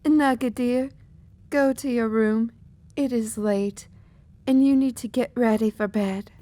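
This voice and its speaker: A woman's voice: fairly high pitch, fairly even intonation, measured pace, dark tone, no echo, lightly acted. A woman playing a weary, stern mother pony in an audio drama. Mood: firm, motherly. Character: weary, stern mother mare, hard-drinking.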